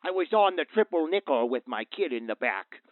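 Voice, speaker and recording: An actor playing a worried, earnest father calling a radio show, speaking haltingly over a phone line. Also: a little high, expressive, dry recording. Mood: shaken, worried. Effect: phone line filter. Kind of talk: audio drama phone-in acting. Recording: tinny, narrow phone-like line.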